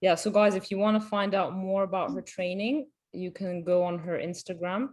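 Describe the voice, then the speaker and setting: A woman's voice: mid-range voice, moderate pace, a little mellow. A woman co-hosting a podcast, asking questions and chatting in an easygoing, agreeable way. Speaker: podcast co-host. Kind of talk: casual interview chat.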